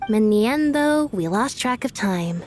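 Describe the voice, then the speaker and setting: A woman's voice: on the high side, expressive, unhurried, a little mellow, faint music behind. A woman playing a reticent, cool young woman in a video game, with a dry, blunt, understated delivery. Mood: deadpan.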